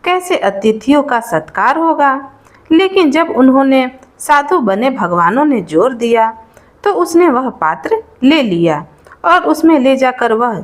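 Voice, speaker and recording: A woman narrating a devotional folk tale in an informal oral storytelling style, explaining and rephrasing as she goes. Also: high-pitched.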